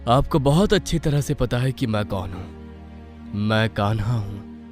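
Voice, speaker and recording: A man voicing a calm, assured, protective divine schoolboy in an animated bedtime story, speaking without hurry. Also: on the low side, a little slow, faint music behind. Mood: confident, firm.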